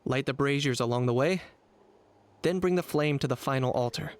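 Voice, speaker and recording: A man voicing a cool, composed young warrior guide in a video game, measured and matter-of-fact. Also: medium pitch, dry recording, natural voice. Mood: calm, instructive. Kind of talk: video game character acting.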